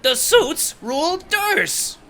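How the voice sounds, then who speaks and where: A man voicing a small, bald, excitable male henchman in an audio drama, squeaking out his lines eagerly. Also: takes it slowly, gravelly, a little hiss or hum, heavily acted. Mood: excited.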